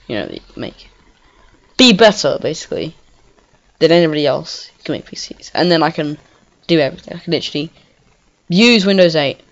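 Rambling, excitable woman gaming. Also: sits low, fairly quick, long pauses. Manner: rambling and excitable, with frequent swearing and giggling. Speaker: gaming video creator. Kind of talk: casual gameplay commentary. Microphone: home computer microphone. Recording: slightly dull lo-fi mic.

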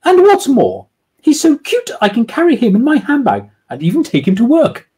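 A self-satisfied office manager of a woman, as a man plays her, brisk and a little evasive. Her voice sits well above his own and swings up and down lively, with a husky edge. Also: very high-pitched, pitched above the performer's own voice, full character voice. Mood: smug. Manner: smug, brisk. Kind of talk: storybook reading.

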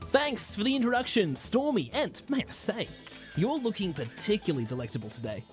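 A performer playing a suave, mysterious relationship expert who narrates a reality show with arch, deadpan teasing. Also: mid-range voice, fairly quick, soft background music, lightly acted.

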